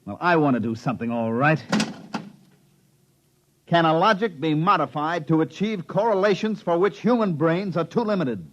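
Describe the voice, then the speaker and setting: A man's voice: on the high side, animated, normal speed, lightly acted. An actor playing a harried, scheming company repairman with a sardonic, slangy manner, in old radio drama style. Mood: weary, impatient. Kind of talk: 1950s radio drama acting. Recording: dull, noisy old radio recording.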